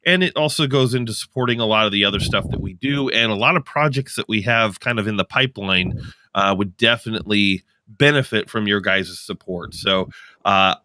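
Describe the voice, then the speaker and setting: Bright-voiced male co-host, dry sound. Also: fairly low pitch, no echo. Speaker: podcast co-host. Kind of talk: casual livestream chat.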